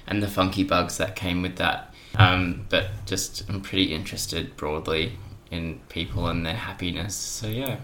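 A young man chats as a podcast guest. His voice is deep yet bright and sharp in tone. He tells anecdotes in a loose, informal way. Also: fairly even intonation, normal speed, a little hiss or hum. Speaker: podcast guest, junior doctor. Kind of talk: casual anecdotal storytelling.

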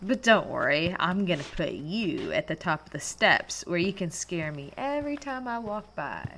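Lively young diner waitress played by a woman. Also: on the low side, normal speed, slight background noise. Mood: playful. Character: young small-town diner waitress, cheeky Halloween lover. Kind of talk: audio drama acting.

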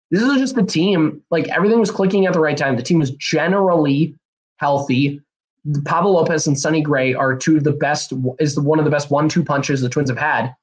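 Young male podcast host, slightly hoarse and stuffy, higher voice, rambling and upbeat. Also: poor audio. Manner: rambling, energetic, many fillers and restarts. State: slightly hoarse and nasal from a cold. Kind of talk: casual solo podcast talk.

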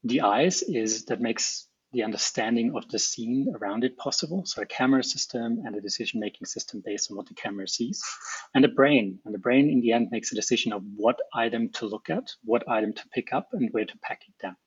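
A man's voice: mid-range voice, brisk, husky, no room sound, dull audio. A middle-aged man from Austria with an Austrian accent, a native German speaker, explaining his work as a guest in an interview. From small Alpine town in Austria. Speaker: guest, robotics researcher and company founder.

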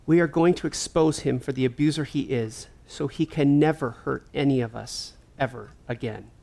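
A devoted, self-blaming advocate. Her voice is low and creaky, with earnest protective fire and a wry edge, in naturalistic audio drama acting. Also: moderate pace, warm tone, exaggerated voice. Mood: defiant. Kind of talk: naturalistic fiction podcast acting.

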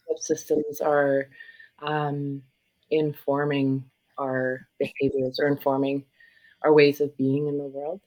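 A middle-aged woman from South Africa, a social worker and yoga facilitator, speaking reflectively as an interview guest with frequent pauses. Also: low-pitched, flat delivery, darkish tone, low-quality recording. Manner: thoughtful, searching, many pauses and ums.

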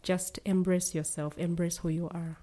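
A woman's voice: fairly low pitch, little pitch movement, moderate pace, dark tone. A young woman from Uganda, a guest in a casual conversation, speaking earnestly and laughing easily.

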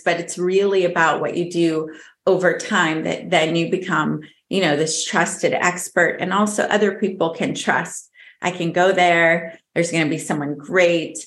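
A woman's voice: lowish voice, fairly bright tone, reverberant. A woman who is a guest on a podcast interview, talking fluently and upbeat and laughing often. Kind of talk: casual interview.

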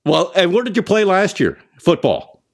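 A man hosting a sports radio interview in a conversational way, pausing now and then as he frames his questions. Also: sits high, sing-song, gravelly. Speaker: sports show host, interviewer.

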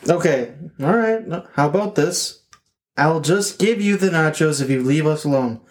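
A jittery husband faces trouble at his front door, as a man plays him. His slightly high voice trips over itself in ums and restarts. He tries to sound tough and keeps faltering. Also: lively intonation, moderate pace, close to an everyday voice. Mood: flustered. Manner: hesitant, stammering, with many fillers. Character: nervous flustered husband answering the door.

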